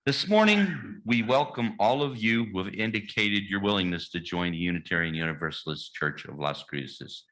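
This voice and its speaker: A man leading a church membership welcome, reading the liturgy in a measured, formal way. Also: fairly low pitch, expressive, a bit bright, poor audio. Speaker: membership committee leader. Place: church sanctuary.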